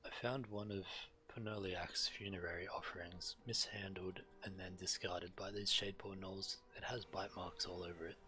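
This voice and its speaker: A man's voice: sits low, steady tone, normal speed, few pauses, bright, forceful tone. A man streaming a video game, reading quest text aloud and commenting casually.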